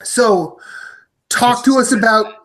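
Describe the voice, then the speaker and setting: A man's voice: very high-pitched, slow pace, a bit bright, rough recording. Hesitant joking male podcast host. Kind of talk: casual podcast chat.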